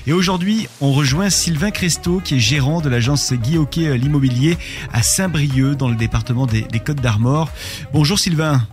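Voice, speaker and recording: A man hosting a podcast, asking formal, measured interview questions with brief hesitations. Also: a little high, faint music behind.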